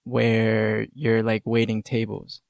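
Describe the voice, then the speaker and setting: A man's voice: lowish voice, completely flat delivery, slow, gravelly, a little mellow, dry recording, studio quality. A man interviewing on a podcast in a casual, thoughtful way, tentative and often repeating words.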